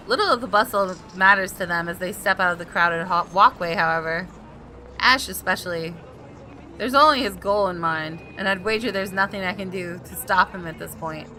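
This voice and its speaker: A woman gaming host reading visual novel narration aloud in an expressive storytelling style. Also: medium pitch, a little hiss or hum, rough recording. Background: background music.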